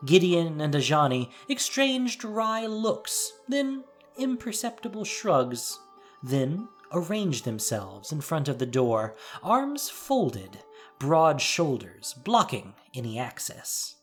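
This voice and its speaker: Story narrator, really animated up and down delivery, dramatic reading, faint music behind. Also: mid-range voice, slow pace.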